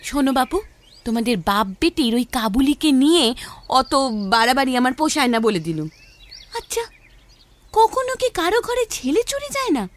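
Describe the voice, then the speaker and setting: Anxious, high-pitched, nagging wife. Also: female voice, big pitch swings, moderate pace, pauses often. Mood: worried, insistent. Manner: nagging, insistent, questioning. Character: anxious, suspicious wife and protective mother. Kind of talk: audio story acting.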